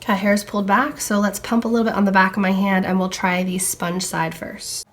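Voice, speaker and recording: A young woman's casual makeup review, her voice a little froggy and hoarse as she gets over a cold. She talks easily while she tries out products. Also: mid-range voice, little pitch movement, brisk. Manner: chatty, talking while applying makeup. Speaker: beauty vlogger.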